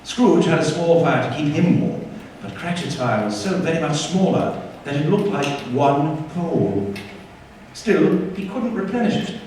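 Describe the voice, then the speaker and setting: A man's voice: fairly high pitch, lively intonation, unhurried, a little mellow. A man narrating a scripted tale on a theatre stage in a theatrical, emphatic storytelling manner. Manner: dramatic, emphatic delivery. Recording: echoey hall, distant mic, faint noise.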